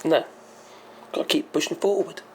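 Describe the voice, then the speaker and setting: A hoarse man hosting his own channel, explaining a topic in a rambling, hesitant way and often correcting himself. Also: fairly high pitch, normal speed, a little hiss or hum, poor audio. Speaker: channel host. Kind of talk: casual explainer monologue.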